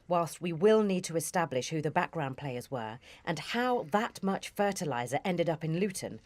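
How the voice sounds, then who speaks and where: Senior intelligence officer, radio drama, female actor, British. Deep female register, composed, formal, businesslike authority. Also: a little mellow, no echo. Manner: controlled, formal, firm.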